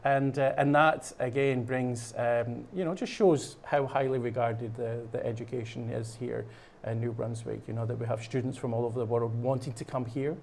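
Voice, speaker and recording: A man's voice: mid-range voice, steady tone, moderate pace, vocal fry, a little mellow, a little hiss or hum. A man from Scotland with a Scottish accent, a guest chatting casually on a local show and explaining a program with lots of fillers and restarts. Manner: rambling, many fillers and restarts. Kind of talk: casual chat. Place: studio while painting.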